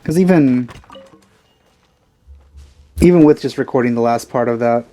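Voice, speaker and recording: Casual male let's play host, soft-edged mellow tone, game music playing clearly behind him. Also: mid-range voice, measured pace. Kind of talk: casual gameplay commentary.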